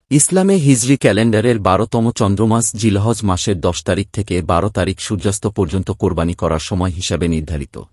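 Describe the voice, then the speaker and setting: Guy w a fairly deep voice reading religious script, formal n measured, dry sound no room. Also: darkish tone, no echo. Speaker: narrator.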